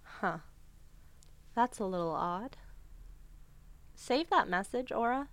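A woman's voice: medium pitch, lively intonation, slow, dry recording. An actress playing a chatty, indecisive young city witch in an audio drama. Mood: uneasy.

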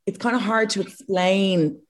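A woman's voice: medium pitch, steady tone, unhurried. Frank, crude Irish woman chatting. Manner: frank, crude and self-mocking. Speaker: podcast co-host. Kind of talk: casual comedic chat.

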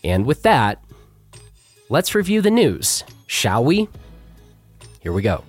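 A middle-aged man hosting a podcast with a hoarse, worn voice, talking in a chatty, self-deprecating way with fillers. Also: mid-range voice, huge pitch swings, takes it slowly, soft background music. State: hoarse, losing his voice. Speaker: podcast host. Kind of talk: casual podcast intro and live chat.

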